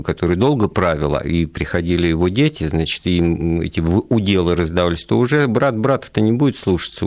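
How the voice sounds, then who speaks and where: A man's voice: deep voice, a little mellow, no room sound. A man hosting a live radio talk show in a studio, speaking thoughtfully and hesitantly with frequent fillers.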